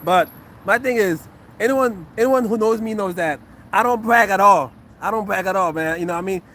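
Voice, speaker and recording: A man's voice: sits high, fairly quick, fairly bright tone, a little hiss or hum. A man in his fifties, a retired fighter, breaking down a fight casually for a live chat, repeating himself earnestly. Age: 50s. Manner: repetitive, earnest, talks to chat. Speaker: retired fighter and acupuncture physician.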